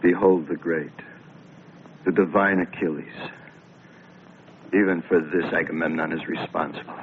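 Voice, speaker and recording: A grave, bitter ancient Greek, acted by a man, speaks low and mockingly. The style is old dubbed epic film, with a thin soundtrack and slight noise. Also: fairly even intonation, moderate pace, phone-quality sound, natural voice. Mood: bitter, ironic.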